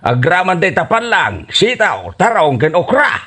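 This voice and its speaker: A man's voice: high voice, wildly swooping pitch, a little slow, pushed and bright, slightly rough, full character voice. An actor playing a stingy, grumbling older boss with an Ilocano accent, scolding his worker in a radio comedy drama. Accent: Filipino, Ilocano. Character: stingy, scolding male boss of a laborer, an elder.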